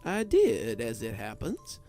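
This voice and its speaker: A man's voice: mid-range voice, huge pitch swings, takes it slowly, mellow, faint music behind. Man doing a wry good-humored old grandpa. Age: older.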